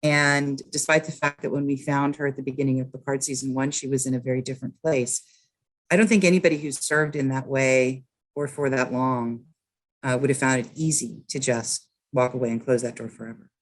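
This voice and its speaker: A woman's voice: a bass voice, fairly even intonation, fairly quick. Woman author chatting as a podcast guest. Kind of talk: casual podcast conversation. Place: sitting outdoors.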